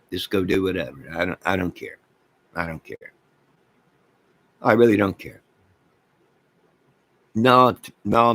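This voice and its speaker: A man's voice: deep voice, creaky, fairly bright tone. An American man giving a rambling, off-the-cuff rant as an online channel host, speaking in an exasperated, repetitive and emphatic manner.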